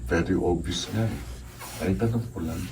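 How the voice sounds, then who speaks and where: Respectful, earnest young Filipino seminarian , male actor, radio drama. Low register, off-mic with noticeable noise. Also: normal speed, darkish tone, close to an everyday voice. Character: earnest, respectful young seminarian, wistful about his old friend. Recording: noisy, distant amateur recording with room ambience.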